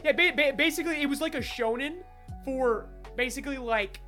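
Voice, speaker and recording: High-voiced woman, sweary and emphatic, music behind. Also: fairly quick. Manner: excitable, heavily sweary, emphatic. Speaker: podcast co-host. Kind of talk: casual chat, fan discussion.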